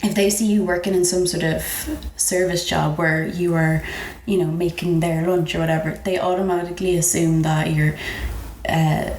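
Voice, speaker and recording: A woman's voice: fairly low pitch, normal speed. Young Irish female comedian for exasperated, chatty comic anecdotes; roomy sound with slight background noise. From Ireland. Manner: animated, exasperated, mimics people in anecdotes. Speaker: comedian guest. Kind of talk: casual comic storytelling.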